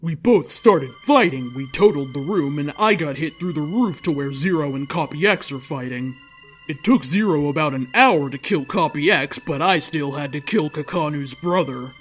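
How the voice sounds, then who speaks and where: Higher-voiced man reading a story theatrically. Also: animated, normal speed. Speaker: host reading fan fiction aloud. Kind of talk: dramatic comedic story reading. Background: music.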